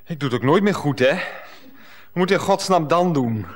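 A man's voice: fairly high pitch, expressive, moderate pace. A man playing a hapless, anxious middle-aged husband in a radio play, childish, plaintive and hesitant. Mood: aggrieved. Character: hapless, anxious, childish husband, torn between two women.

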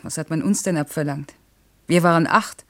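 A woman's voice: low-pitched, moderate pace, natural voice. An actress playing a proud, stubborn working single mother in a radio play, clipped, blunt and defensive. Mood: defiant. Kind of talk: German radio play acting.

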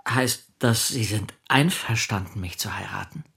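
An actor playing a timid, lonely middle-aged husband in a radio play, speaking hesitantly and brooding in quiet narration. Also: male voice, on the low side, measured pace, creaky, slightly rough, no echo, clean studio sound, full character voice. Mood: earnest, quiet. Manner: hesitant, terse, melancholy. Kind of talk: German radio play acting with introspective narration. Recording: music behind the voice. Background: music.

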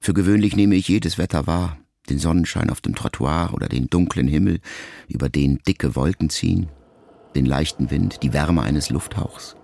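Deep, gravelly, grieving son. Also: male voice, normal speed, a little mellow, heavily acted. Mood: pensive. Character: grieving ageing son addressing his dying mother, wounded, reflective. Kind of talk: intimate literary radio drama monologue.

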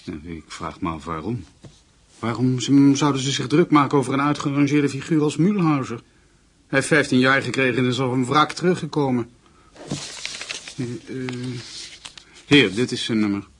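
Dismissive underling in radio drama, raspy midrange voice. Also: male voice, mid-range voice, normal speed, long pauses, fairly bright tone. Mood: puzzled, dismissive. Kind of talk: Dutch radio play acting.

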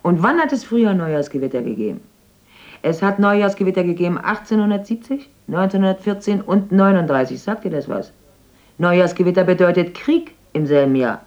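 An actress plays an older widow who talks in rising and falling turns, warning of omens. The voice is dark and mellow, on a slightly dull old radio recording. She sounds wary and superstitious. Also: fairly low pitch, brisk, lightly acted. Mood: brooding. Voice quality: lively, questioning. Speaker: widow of a stargazer in a radio play. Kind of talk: radio drama acting.

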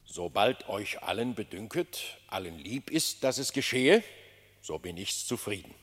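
An actor playing a gracious, commanding lion king in a German radio play, speaking in a stately, measured way. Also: fairly low pitch, expressive, fairly bright tone, big-room sound. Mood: regal.

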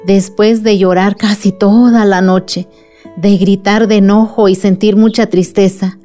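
Actress as a very mellow, nurturing storyteller. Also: female voice, mid-range voice, little pitch movement, a little slow, faint music behind, close to an everyday voice. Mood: gentle reassurance. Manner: soothing, nurturing. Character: gentle wise woman telling a child a story. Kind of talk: radio drama storytelling.

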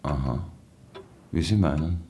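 An actor playing a hesitant, evasive grown son, speaking haltingly in a radio drama. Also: male voice, rumbling low, warm tone.

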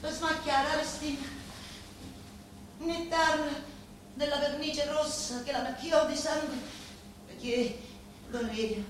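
A woman acting a grieving, vengeful daughter in a theatrical monologue, with a Sicilian accent and halting, incantatory delivery full of repeated words. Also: high-pitched, fairly even intonation. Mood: dazed, mournful. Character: grieving, vengeful daughter of murdered parents, confiding in a boss. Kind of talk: theatrical dramatic monologue in dialect-laced Italian. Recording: echoey, distant, faintly noisy stage recording.

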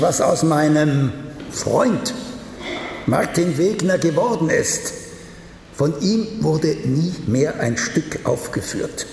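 An actor playing a vain, sardonic old playwright in a radio drama, theatrical and wry, his gravelly voice turning breathy at phrase ends. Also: a little high, a little mellow, a little hiss or hum, heavily acted. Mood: wistful, ironic. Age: older. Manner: slow, theatrical, drifting into wry chuckles.

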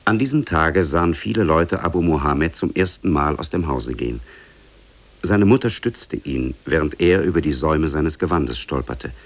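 A man narrating an Oriental fairy tale in a measured way, as in a 1950s German radio play. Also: rumbling low, animated, no room sound. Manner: measured, storytelling.

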